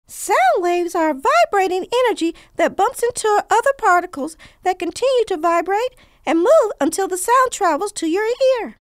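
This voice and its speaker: A woman voicing a cheerful cartoon girl student with a high-pitched voice, who eagerly explains facts. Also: lively intonation, moderate pace, no room sound, clean studio sound. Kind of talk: educational cartoon voice acting.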